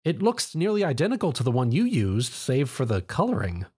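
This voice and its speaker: A man's voice: medium pitch, huge pitch swings, brisk, dark tone, slightly rough. A man voicing an earnest, brainy young female pony princess in a story. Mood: thoughtful.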